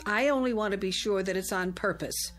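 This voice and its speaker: An actress playing a brisk, dryly sarcastic housekeeper in an old radio drama. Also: female voice, medium pitch, a bit bright, soft background music, close to an everyday voice. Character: dry, no-nonsense housekeeper.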